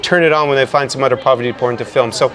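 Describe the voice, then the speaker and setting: A man hosting a casual podcast interview, chatty and quick with frequent restarts, recorded outdoors in a city. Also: mid-range voice, fairly even intonation, fairly bright tone. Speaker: podcast host.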